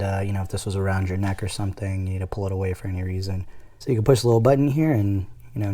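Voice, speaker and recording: A man's voice: lowish voice, mellow. A man giving a casual product demonstration, explaining things step by step in an informal way. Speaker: product reviewer. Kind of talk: casual unboxing demo.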